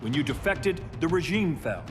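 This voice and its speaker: Guy voicing bitter bossy superhero tyrant in a game cutscene, intense, really animated delivery, music behind. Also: on the high side, moderate pace, natural voice. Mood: angry, accusing. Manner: intense, accusatory, brooding. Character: embittered, domineering male superhero turned ruthless regime leader. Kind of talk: cinematic video game voice acting.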